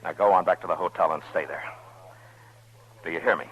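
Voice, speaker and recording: An actor playing a stern frontier marshal in a radio western, with an American accent and blunt, laconic authority. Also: male voice, sits low, a little fast, a bit bright. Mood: commanding, urgent. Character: stern, steady frontier US marshal of Dodge City. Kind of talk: 1950s radio western acting. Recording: muffled old-time radio recording.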